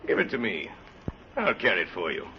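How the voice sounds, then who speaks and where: Casting: fatherly poor traveller, lowish gentle voice, very varied intonation, vintage radio drama. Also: big pitch swings, fairly quick. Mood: kindly, concerned. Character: kindly, gentle, poor male stranger. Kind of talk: 1930s-40s radio drama acting. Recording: dull, faintly noisy old radio recording.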